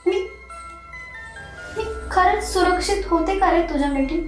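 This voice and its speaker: Young woman commuter, stage monologue, voiced by an actress; bitter and accusing, soft music behind. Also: very high-pitched, a little slow. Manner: bitter, accusing, rising to anger. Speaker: actor in a one-act monologue. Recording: very echoey room, music underneath.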